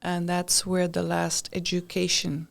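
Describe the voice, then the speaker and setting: A woman's voice: lowish voice, monotone, unhurried, gravelly. A woman in her late sixties with an American accent, recounting her life as a casual podcast guest and repeating short phrases. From Europe. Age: late 60s. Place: podcast studio.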